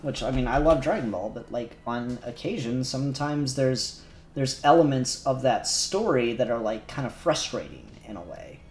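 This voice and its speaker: A man's voice: mid-range voice, moderate pace, echoey room, soft background music. A man casually chatting on a livestream, thinking aloud as he works on a 3D model. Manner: relaxed, thinking aloud while working. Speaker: co-host modelling on stream.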